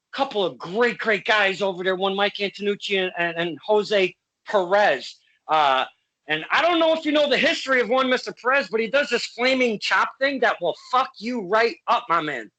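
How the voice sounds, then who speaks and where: Bright, boisterous male podcast host. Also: high voice, poor audio. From Connecticut. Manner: boisterous, playful, swears freely. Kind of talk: casual interview.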